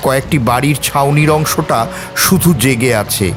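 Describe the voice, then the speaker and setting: A man's voice: medium pitch, normal speed. A man narrating a scripted story in a theatrical, suspenseful way, with music and storm ambience behind.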